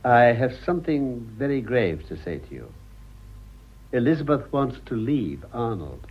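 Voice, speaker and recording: An actor playing an older upper-class gentleman, formal, grave and wry, in a radio drama. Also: medium pitch, very animated, a little slow, husky, highs cut off. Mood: grave, urgent.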